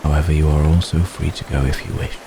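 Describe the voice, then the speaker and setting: A man playing a centuries-old vampire gentleman in an intimate ASMR roleplay, tender, protective and world-weary, speaking formally and gently with long pauses. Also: a bass voice, creaky. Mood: tender, reassuring. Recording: steady rain noise behind voice. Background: rain falling.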